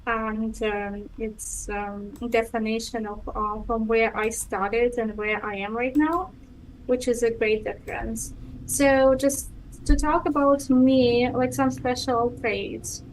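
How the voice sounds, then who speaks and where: A woman's voice: a little high, fairly even intonation, takes it slowly, a little hiss or hum, low-quality recording. A woman in her thirties from Ukraine with a Ukrainian accent, whose first language is Russian, answering interview questions hesitantly with many ums and restarts. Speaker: guest.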